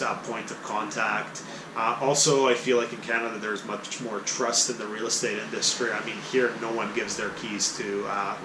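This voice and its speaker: Croatian-born man, realtor, chatty and emphatic with fillers, roomy echo and steady background hum. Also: mid-range voice. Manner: conversational, frequent "uh" fillers, emphatic bursts. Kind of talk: casual talk to camera. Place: abroad, recorded on location.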